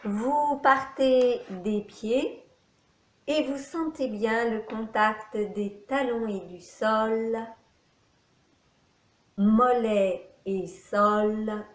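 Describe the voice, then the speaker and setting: A woman yoga nidra teacher giving guided meditation instructions in measured phrases with pauses. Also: fairly high pitch, fairly even intonation. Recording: echoey room.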